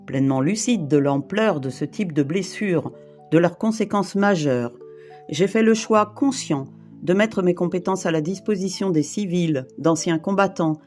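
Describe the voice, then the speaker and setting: A woman in her fifties from the Paris region, reading a personal testimony in a measured, formal way. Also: lowish voice, a little mellow. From France, Île-de-France. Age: 50s. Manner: measured, formal, list-like delivery. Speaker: brief therapist and volunteer rescuer. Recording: guitar music behind.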